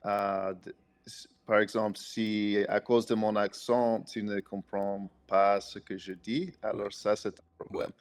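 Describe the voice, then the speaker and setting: A man's voice: fairly low pitch, little pitch movement, unhurried, clean tone. A man with an American accent, a native English speaker, speaking as a podcast guest in careful, hesitant conversation full of restarts. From United States. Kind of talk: casual conversation.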